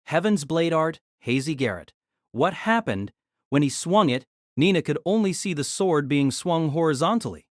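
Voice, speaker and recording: A man narrates an audio drama from a script. His voice sits fairly high for a male, and the sound is close and dry. The delivery is dramatic storytelling. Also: lively intonation, normal speed, no room sound.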